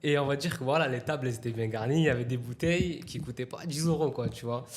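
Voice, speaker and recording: A man in his twenties, a guest from Italy, telling a story in a relaxed, slangy way in a casual interview. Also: medium pitch, fast talker. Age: 20s. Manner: relaxed anecdotal telling, slangy, with acted quotes.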